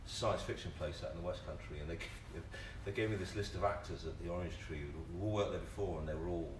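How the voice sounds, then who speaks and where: British man, low pitch, impassioned panel talk, stammered repetitions, echoey room, faint background noise. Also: brisk. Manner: stammering repetitions, emphatic, colloquial. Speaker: panellist, theatre writer and director. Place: indoor panel event at a theatre. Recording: roomy, distant mic, background noise.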